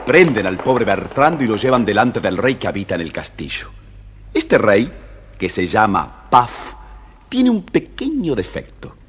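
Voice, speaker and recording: A man with an Argentine accent and a husky voice, narrating a scripted story for children. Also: on the low side, sing-song, unhurried.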